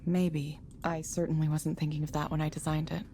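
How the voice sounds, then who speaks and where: A woman's voice: sits low, little pitch movement, fairly quick, warm tone, slight background noise. An actress playing a reserved, thoughtful woman engineer in a visual novel, with an understated, hesitant delivery. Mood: reserved, doubtful.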